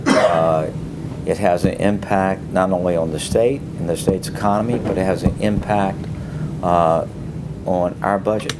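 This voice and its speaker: Low-voiced man briefing a council. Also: steady tone. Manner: measured, explanatory, with frequent fillers. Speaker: city official presenting budget. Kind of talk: council work session briefing. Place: city council chamber. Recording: noisy council chamber audio.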